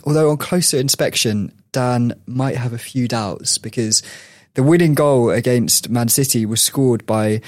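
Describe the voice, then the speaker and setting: A man's voice: medium pitch, a little slow, few pauses, darkish tone, no room sound, clean studio sound. A man hosting a podcast, telling stories in a chatty way with frequent laughs. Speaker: podcast host. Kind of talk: casual chat.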